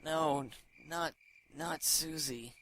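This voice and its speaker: A woman playing a badly injured, dazed teenage boy in an audio drama, halting and strained with pain. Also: deep voice, fairly bright tone, full character voice, low-quality recording. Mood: pained, agitated. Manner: halting, strained, broken phrases.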